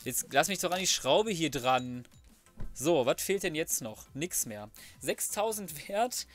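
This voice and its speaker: A man's voice: a little high, animated, a little slow, faint music behind. A young man gives casual gameplay commentary, thinking aloud in a colloquial, muttering, mildly grumbling way. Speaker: let's play host. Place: indoors, voice-over recorded apart from the game.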